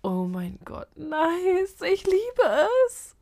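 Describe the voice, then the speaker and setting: A woman's voice: very high-pitched, big pitch swings, drawn-out words, warm tone, no room sound, clean studio sound, exaggerated voice. A woman in her twenties playing a bewildered young actress who regrets her wish, in an amateur audio drama. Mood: delighted. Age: 20s.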